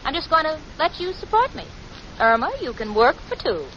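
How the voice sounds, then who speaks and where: A sensible, long-suffering young American woman in a vintage radio comedy. Her voice is high and bright, with sarcastic, exasperated delivery. The old recording is muffled, with hiss and a studio audience. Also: sing-song, brisk, exaggerated voice. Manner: wry, exasperated. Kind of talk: 1940s radio sitcom acting, narration and dialogue.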